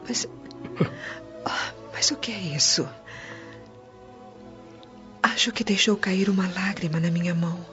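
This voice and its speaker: A Brazilian woman playing a frail, suffering, humble and grateful woman in a radio drama, speaking tenderly in a breathy half-whisper. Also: lowish voice, pushed and bright. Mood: tender, moved. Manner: slow, tender, emotional delivery. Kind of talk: scripted radio drama acting. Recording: dull lo-fi audio with music.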